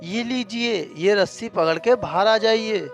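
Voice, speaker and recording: A man's voice: high-pitched, a little slow, vocal fry. A man voicing a curious, kindly young woodcutter in a storybook tale, wondering and earnest. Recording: music behind the voice.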